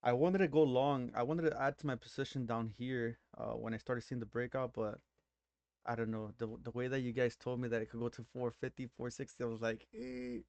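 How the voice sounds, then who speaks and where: A man from Nicaragua with a Miami accent, hosting a trading stream in relaxed, rambling casual chat. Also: medium pitch, a little fast, dry recording, dull audio. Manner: relaxed, rambling, mixes in slang.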